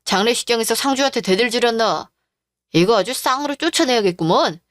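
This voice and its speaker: A sneering, bullying husband in a radio drama, voiced by a woman who drops her pitch. He talks down to everyone with cold, rude contempt, and the recording is dry and close. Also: lively intonation, a little fast, no room sound, lightly acted. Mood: contemptuous. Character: callous cheating middle-aged husband.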